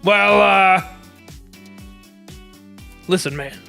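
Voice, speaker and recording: A man's voice: high voice, drawn-out words, creaky, sharp tone, soft background music. A man chatting casually as a player in an improvised tabletop game. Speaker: tabletop role-playing player.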